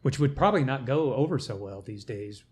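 A man's voice: mid-range voice, expressive, fairly quick, slight background noise. A man hosting a casual podcast interview, asking long rambling questions with joking asides and frequent restarts. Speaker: podcast host.

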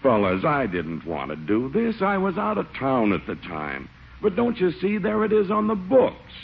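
An actor playing a sentimental, easily swayed small-town police chief in an old radio comedy, blustery and earnest. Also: male voice, on the high side, huge pitch swings, normal speed, gravelly, slightly rough, full character voice. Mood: sheepish, pleading. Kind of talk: 1940s radio sitcom acting. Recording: muffled, noisy old radio recording.

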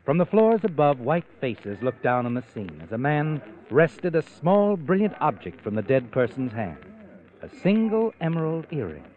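A man tells a mystery tale with theatrical suspense in the manner of 1940s radio. His fairly high voice swings widely in pitch. The old recording is thin and tinny. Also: big pitch swings, normal speed. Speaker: mystery story narrator. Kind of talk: dramatic 1940s radio scripted narration. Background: music.

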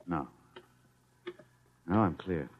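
An actor playing a desperate, protective husband on the run from the future in a classic radio drama, grave and resolute. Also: male voice, sits low, expressive, extremely slow, dull audio, full character voice. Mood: relieved, quiet. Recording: old classic radio recording.

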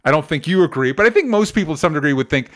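A man's voice: on the high side, expressive, racing. A man co-hosting a casual podcast, rambling, with frequent restarts and fillers. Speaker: podcast co-host.

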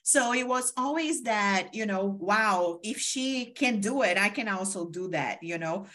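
A woman hosts a podcast interview. Her pitch sits mid-range, and she talks in an effusive, chatty flow with lots of "you know". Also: animated, measured pace, fairly bright tone. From Brazil. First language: Portuguese. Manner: effusive, chatty, many fillers. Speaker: podcast host. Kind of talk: casual interview.

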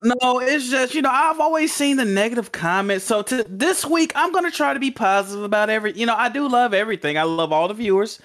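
A man with a high voice that moves up and down a lot. He co-hosts a podcast and speaks quickly in casual banter. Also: expressive, fairly bright tone. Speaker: podcast co-host.

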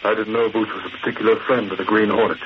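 An actor playing a fat, smooth-talking crime boss with mock-polite menace in a 1940s radio drama. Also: male voice, lowish voice, level tone, natural voice. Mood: smooth, wheedling. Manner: mock-polite, sardonic, unhurried threats. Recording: muffled, noisy old radio recording.

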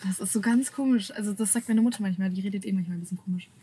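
A woman's voice: mid-range voice, racing, dark tone, a little hiss or hum. A young woman as a podcast guest in a parked car, dry and mock-annoyed. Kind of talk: casual podcast chat.

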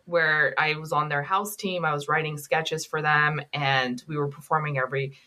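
A woman's voice: low-pitched, steady tone, moderate pace, pushed and bright. A woman originally from Kashmir who moved to the US as a child, chatting casually and jokily as a comedy guest. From born in Kashmir, moved to the US at seven. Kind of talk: casual comic interview chat.

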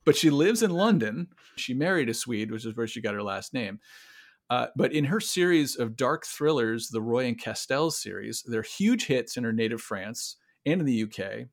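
A man with a flat Midwestern American accent, hosting a casual show and interviewing in a friendly, easygoing way. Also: mid-range voice, moderate pace. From Iowa. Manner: friendly, easygoing, uses fillers. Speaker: podcast host.

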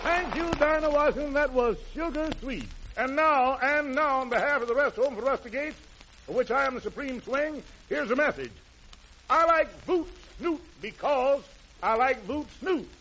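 High-pitched, animated man hosting vintage radio. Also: moderate pace, some chatter behind. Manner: playful jive-talking showman, mock-pompous. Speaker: radio show host. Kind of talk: old-time radio variety patter. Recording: lo-fi vintage radio, noisy, audience sounds.

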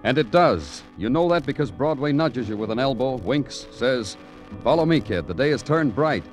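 A man's voice: mid-range voice, lively intonation, normal speed, natural voice. An actor playing a world-weary, poetic big-city police detective who narrates his case in florid, brooding radio noir style. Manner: brooding, florid, dramatic phrasing. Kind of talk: 1940s-50s radio noir acting and narration. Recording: vintage radio recording with music.

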